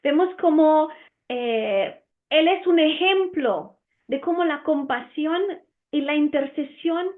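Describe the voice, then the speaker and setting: A woman with an Italian accent gives an earnest Bible lesson, often pausing with "eh". Her voice sits high. The sound is narrow and tinny, like a phone line. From Romania. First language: Romanian. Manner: earnest, with frequent "eh" fillers and restarts. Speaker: Bible teacher. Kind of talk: Bible teaching.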